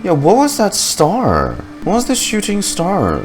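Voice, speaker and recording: A man's voice: high voice, huge pitch swings, measured pace. A man giving casual gaming reaction commentary, informal and rambling as he thinks aloud. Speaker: gaming reaction video host. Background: game music.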